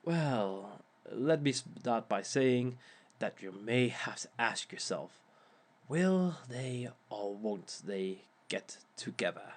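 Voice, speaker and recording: A smug villain lecturing on love, as a man plays him, taking his time over each line and chuckling at his own advice. His teasing is mock-sympathetic, and he speaks at a middling pitch for a man. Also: lively intonation, slow. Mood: wry, amused. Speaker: villain relationship expert. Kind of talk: audio roleplay monologue.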